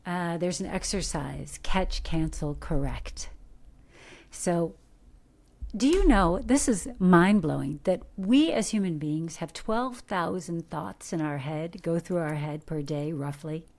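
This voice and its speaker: A middle-aged American woman from Detroit, an actor giving earnest, coaching advice in a podcast interview, animated with rhetorical questions. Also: on the low side, slow pace, creaky. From Detroit, USA. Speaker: guest, actor. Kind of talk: podcast interview, motivational talk.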